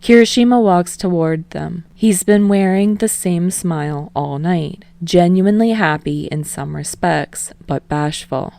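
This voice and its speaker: Low-pitched woman narrating fiction, dry sound. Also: unhurried, vocal fry, few pauses, warm tone, no echo. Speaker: audiobook reader of fan fiction. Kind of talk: expressive scripted narration.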